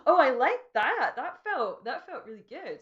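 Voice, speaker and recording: A woman's voice: sits high, big pitch swings, moderate pace. A woman hosting a wellness show on a video call, laughing often and reading viewer comments aloud in a casual, friendly way. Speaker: host. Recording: dull lo-fi video-call audio.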